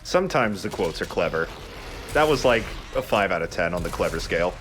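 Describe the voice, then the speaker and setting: Male let's play host, lower register, casual and explanatory, swears freely, faint noise behind. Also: very animated, brisk, fairly bright tone. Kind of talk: casual gameplay commentary.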